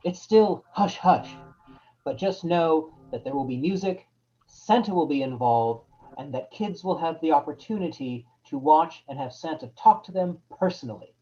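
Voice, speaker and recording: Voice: medium pitch, animated, moderate pace, mellow, lo-fi sound. An announcer reading a scripted introduction to a radio-style show.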